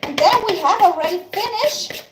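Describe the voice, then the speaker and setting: A woman's voice: very high voice, expressive, moderate pace. A young woman from Tyrol with an Austrian German accent, hosting a casual live stream while baking and chatting with viewers. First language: German (Tyrolean dialect). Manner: chatty, answers viewer comments, thanks them often. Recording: echoey room with background noise.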